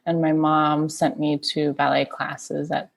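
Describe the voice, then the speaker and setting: A woman's voice: sits low, level tone, measured pace, vocal fry. A woman in her thirties from Malaysia, talking casually as a guest in an interview. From Kuala Lumpur, Malaysia. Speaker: podcast guest, dance artist and teacher.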